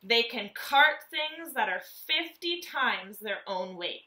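A woman reads aloud to young children in a teacher's animated manner. Her voice is fairly high and strikingly bright. She takes her time, enunciating each point deliberately for small listeners. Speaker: elementary school teacher. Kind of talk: children's nonfiction read-aloud lesson.

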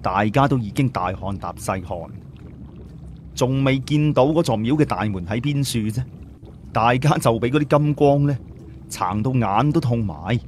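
A man's voice: medium pitch, animated, moderate pace, a little mellow, slight background noise. A man with a Cantonese accent narrating an audiobook story in a dramatic, scripted storytelling style.

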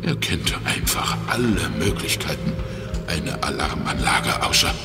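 Man acting a very deep, wounded ex-crook detective. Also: animated, heavily acted. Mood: strained, grave. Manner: halting, strained confession with frequent pauses. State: weak and exhausted from injury. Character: wounded ex-crook turned private detective, weary but determined. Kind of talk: German children's audio drama. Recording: echoey reverberant hall, music underneath.

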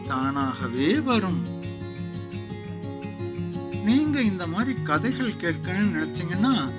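High-pitched, animated male storyteller. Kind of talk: scripted moral-story narration.

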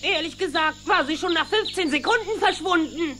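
Feisty cartoon bush guide, extremely high voice, animated swoops, cheeky, audio drama. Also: female voice, normal speed, background noise. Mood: cheeky, defiant. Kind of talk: German children's audio drama acting.

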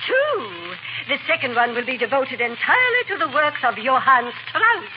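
An actress playing a haughty, fluttery society matron, affected and pompous, in a 1940s radio comedy. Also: female voice, high voice, wildly swooping pitch, moderate pace. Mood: gracious, haughty. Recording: muffled, noisy old radio recording.

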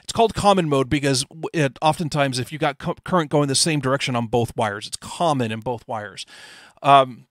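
A man's voice: mid-range voice, moderate pace, no echo, clean studio sound. A middle-aged man presenting a technical lecture in an informal, explanatory way, asking rhetorical questions.

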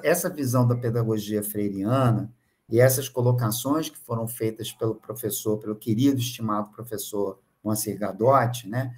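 A man's voice: mid-range voice, a little mellow. Older man lecturing over a video call. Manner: hesitant, many filler sounds and restarts. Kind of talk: online academic lecture. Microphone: video call webcam.

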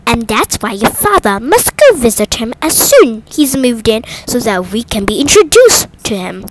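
A woman voicing an excitable, nervous mother mouse in a storybook, with shrill, dramatic exclamations. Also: moderate pace, a little hiss or hum, poor audio. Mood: bossy.

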